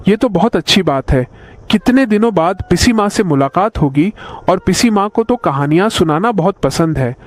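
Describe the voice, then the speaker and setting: A man voicing an easygoing, reassuring village husband in a cartoon story. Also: high-pitched. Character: easygoing village family man who loves hearty food. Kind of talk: Hindi cartoon story dubbing.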